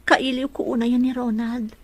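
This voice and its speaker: A woman playing a heartbroken, gentle young woman in a melodrama, speaking with earnest, sorrowful longing. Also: fairly high pitch, level tone, normal speed, close to an everyday voice. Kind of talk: Ilocano melodrama acting.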